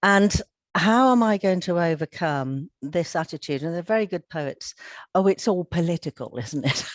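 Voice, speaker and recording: Croaky older woman, very low voice. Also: animated, normal speed, dry recording. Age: 60s. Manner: chatty, laughs easily. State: slightly croaky, recovering from illness. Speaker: workshop participant. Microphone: video call.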